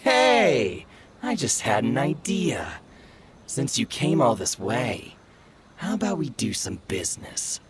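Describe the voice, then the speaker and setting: A sneering bully. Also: male voice, mid-range voice, huge pitch swings, takes it slowly, background noise. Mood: scheming. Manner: taunting, contemptuous. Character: sneering young male thug, mocking bully. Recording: game audio with clear music behind.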